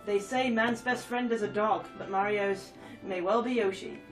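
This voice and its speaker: A woman's voice: medium pitch, normal speed, recorded from a distance, soft background music. A woman with a cold and a stuffy nose, chatting casually and giggling as she reads game trophy descriptions aloud. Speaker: gaming YouTuber.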